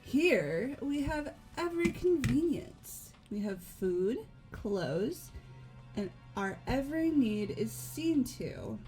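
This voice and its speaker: A woman playing a poised, coolly resigned young woman in a fan-voiced visual novel, speaking formally and deliberately. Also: a little high, very animated, dark tone, soft background music, rough recording. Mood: cool, composed.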